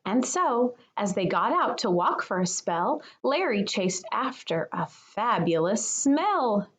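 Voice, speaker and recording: Woman reading slowly and very expressively to children. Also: mid-range voice. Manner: expressive, addresses young children as friends. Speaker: kindergarten teacher reading aloud. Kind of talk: children's picture book read-aloud.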